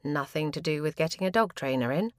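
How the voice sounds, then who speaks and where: A woman's voice: sits low, animated, brisk, no room sound, voice pushed down. A woman voicing a skeptical, grumbling dieter with a dry, sarcastic manner in storybook dialogue.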